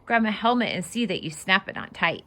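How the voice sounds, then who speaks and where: Animated woman reading to children, lively pitch. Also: brisk. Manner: animated, sing-song rhyming delivery. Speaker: children's read-aloud host and fitness instructor. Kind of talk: expressive storybook read-aloud for children with casual asides.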